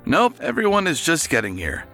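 A man's voice: a little high, huge pitch swings, moderate pace, sharp tone, soft background music, full character voice. A man playing an affable, slightly fussy and flustered husband hosting guests in an audio drama. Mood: polite, flustered.

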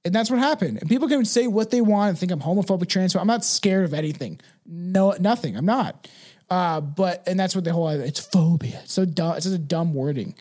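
A man with a high voice chats as a podcast host. He is blunt and emphatic, swears freely and keeps checking in with "right" and "man". The delivery is casual and full of opinion. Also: animated, fairly quick, darkish tone.

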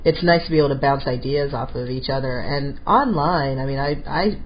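A woman's voice: very deep voice, a little fast. A middle-aged woman hosting a podcast, talking casually while fighting a head cold. She chats freely and often repeats words as she goes. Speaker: podcast host. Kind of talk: casual conversational chat. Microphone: call over phone or Skype. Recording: dull slightly lo-fi audio with faint noise.

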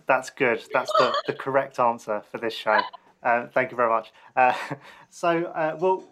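A man's voice: mid-range voice, fairly bright tone. A man hosting a podcast and chatting casually with a guest. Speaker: podcast host, interviewer. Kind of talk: casual film podcast interview.

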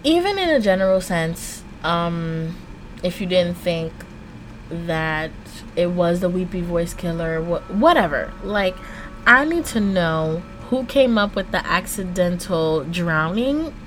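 Low-pitched woman telling true crime, noisy recording. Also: takes it slowly. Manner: slangy, informal, animated asides. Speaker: true crime podcast host. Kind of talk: casual true crime storytelling, mixing scripted narration with chatty asides.

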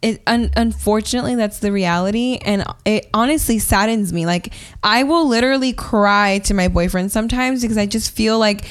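A woman's voice: medium pitch, fairly quick, few pauses, clear, resonant tone. A young woman hosting a casual podcast chat, talking emphatically with frequent restarts. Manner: emphatic, rising to exasperated quoting. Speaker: podcast host.

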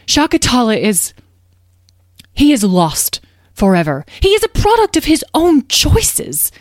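A woman's voice: medium pitch, normal speed, warm tone, dry recording, studio quality, pitched above the performer's own voice, natural voice. A woman voicing an anxious, protective mother dragon in a storybook, stern and pleading, with urgent, emphatic delivery. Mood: panicky, pleading.

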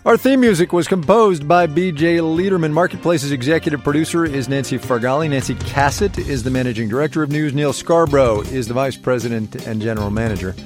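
A male radio news host giving the closing notes in a brisk, conversational tone. His voice is fairly high for a man, with faint music underneath.

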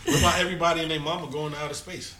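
A man chiming into a casual podcast chat with blunt, quick remarks. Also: a little high, fairly even intonation, sharp tone. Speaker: podcast producer.

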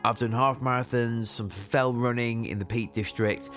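A man's voice: medium pitch, steady tone, moderate pace, fairly bright tone, soft background music. A young man hosting a podcast, chatting casually with a jokey, self-mocking energy. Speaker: podcast host.

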